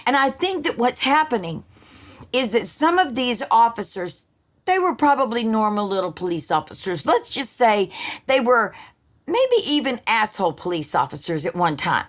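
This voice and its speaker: A woman's voice: on the high side, sing-song, moderate pace. A woman who hosts her own channel, giving a blunt, sarcastic political monologue full of profanity and restarts. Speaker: political commentary host. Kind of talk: casual opinion monologue.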